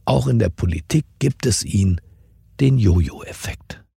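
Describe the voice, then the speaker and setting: A male news presenter with a husky, gravelly voice and a soft, dark tone. He reads scripted briefing items and puts questions in a pointed, deliberate manner, leaving pauses for effect. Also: on the low side, lively intonation, dry recording, clean studio sound. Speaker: host of a news briefing podcast. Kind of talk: scripted news narration and interview.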